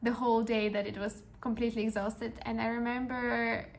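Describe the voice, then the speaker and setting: A woman's voice: on the high side, little pitch movement, fairly quick, vocal fry. A woman in her twenties, a podcast host telling a personal story in an earnest, encouraging way with frequent restarts. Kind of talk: reflective personal storytelling monologue.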